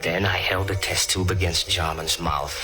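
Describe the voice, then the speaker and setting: Male actor as menacing killer, deep-toned, greedy, cold, vintage radio drama, prominent music backing. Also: steady tone, normal speed, close to an everyday voice. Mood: grim narration. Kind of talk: 1940s radio acting, dramatic first-person narration.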